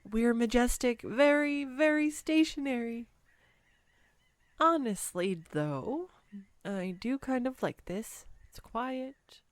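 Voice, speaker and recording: A woman's voice: fairly high pitch, expressive, slow, dry recording. A woman playing a clumsy, giggly, self-deprecating girlfriend on a date, in an intimate one-sided roleplay audio drama. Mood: playful. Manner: flustered, self-deprecating, chatty with bursts of laughter.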